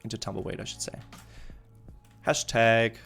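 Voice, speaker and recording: A man's voice: on the low side, little pitch movement, normal speed, gravelly. Easygoing man live-streaming over music. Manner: easygoing, thinking aloud. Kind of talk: casual game-stream commentary, chatting with viewers. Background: background music.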